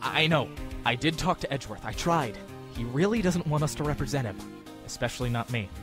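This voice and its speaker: Man as a wry, animated young defense lawyer. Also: medium pitch, a little fast, music in the background, close to an everyday voice. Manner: dry, hesitant. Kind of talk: fan audio drama acting of a video game story.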